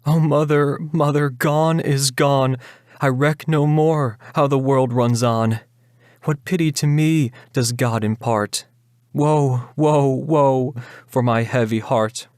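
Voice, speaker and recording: A man's voice: medium pitch, steady tone, slow, darkish tone, clean tone, no echo, studio quality, natural voice. A man voicing a wildly despairing, defiant young woman, anguished and declamatory, in a dramatic reading of a gothic ballad.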